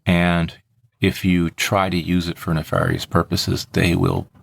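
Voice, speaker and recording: A man's voice: low voice, little pitch movement, a little slow, gravelly. A man hosting a podcast, explaining things in a casual, methodical way. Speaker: podcast host.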